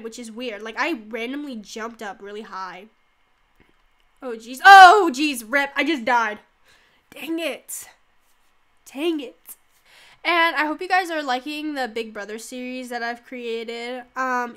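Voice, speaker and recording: A woman's voice: sits high, sharp tone. A teenage girl, stuffy from a cold, chatting casually while playing, rambling with lots of "like". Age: teens. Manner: rambling, lots of "like", yelps and laughs during play. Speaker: gaming YouTuber. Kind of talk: casual gameplay chat.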